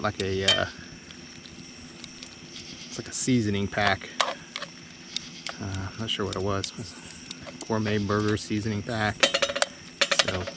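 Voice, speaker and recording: A man talking casually and haltingly as he hosts an outdoor camping vlog, with a laid-back, folksy manner. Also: fairly low pitch. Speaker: vlog host. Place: outdoors at a state park and campsite. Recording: noisy outdoor recording with insects.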